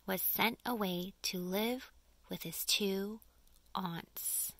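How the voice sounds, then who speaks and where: A woman reads a children's story aloud in a bright, forward voice. She takes her time, phrasing each line deliberately and leaning on key words for dramatic effect. The sound is dry and close. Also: medium pitch, dry recording. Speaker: audiobook reader. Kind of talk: expressive children's storybook narration.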